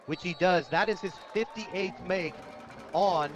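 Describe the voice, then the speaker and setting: A man calling live high school football play-by-play for radio, describing each moment in detail. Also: a little slow, over music, a crowd in the background. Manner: descriptive, rising to shouts on big plays. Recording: dull, lo-fi radio broadcast.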